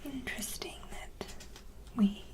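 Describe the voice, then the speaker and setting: A woman doing ASMR, reading and commenting in a soft, breathy whisper. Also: takes it slowly. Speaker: ASMR video creator.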